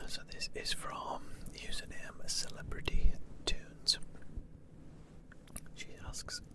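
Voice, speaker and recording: A man's voice: slow, long pauses. A man from the Lake District, whispering through a casual ASMR question-and-answer session with plenty of ums and likes. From Lake District, northwest England. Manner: soft unvoiced whisper, rambling with fillers.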